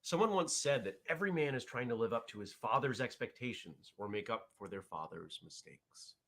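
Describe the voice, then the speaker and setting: A man's voice: mid-range voice, lively intonation, a little fast, fairly bright tone. A man hosting a martial arts morning show, chatting loosely with viewers, often restarting sentences and reading their comments aloud. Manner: rambling, self-correcting, reads viewer chat aloud. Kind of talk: casual live-stream chat with viewers.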